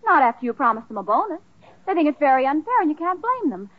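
An actress playing a frank, disapproving secretary in an old radio sitcom, speaking bluntly and reproachfully. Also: female voice, sits high, big pitch swings, quick pace. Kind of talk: 1940s radio sitcom acting. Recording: muffled old radio recording.

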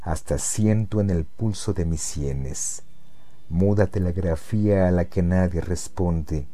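A man reciting poetry in a solemn, measured and deliberate manner, with pauses between phrases. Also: sits low, dark tone.

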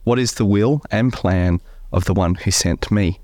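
A man co-hosting a faith podcast, slightly croaky from a cold, talking in a relaxed way with many fillers. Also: on the low side, unhurried, dry recording, clean studio sound. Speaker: podcast co-host. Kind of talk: casual faith podcast chat.

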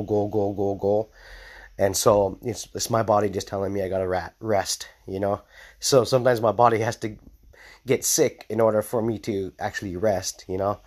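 Male vlogger, somewhat low voice, congested from a cold, loose rambling delivery. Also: darkish tone. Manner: loose and rambling, many ums and tag questions. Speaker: off-grid homesteading vlogger. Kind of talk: casual video update.

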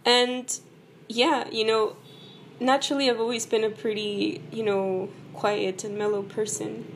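Hoarse woman chatting about growing up. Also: a little high, level tone, measured pace, a little hiss or hum. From El Paso, Texas, USA. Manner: conversational, full of "you know" and "right?". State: hoarse from a cold. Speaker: vlogger. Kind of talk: casual personal talk to camera.

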